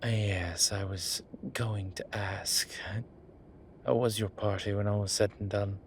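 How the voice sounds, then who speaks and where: Guy acting as wry demon professor in an audio drama, really slow talker, laid-back, noisy ambience behind him. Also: fairly low pitch, steady tone. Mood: relaxed, friendly. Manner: unhurried, wry, with breathy pauses and trailing sentences. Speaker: voice actor. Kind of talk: roleplay audio drama, one side of a conversation.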